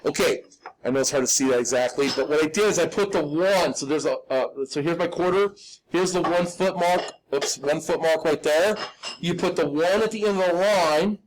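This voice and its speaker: High-voiced, slightly raspy male drafting teacher. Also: normal speed, slight background noise, rough recording. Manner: instructional, checks in often with "okay?"; informal, folksy, self-deprecating. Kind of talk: live step-by-step hand drawing demonstration.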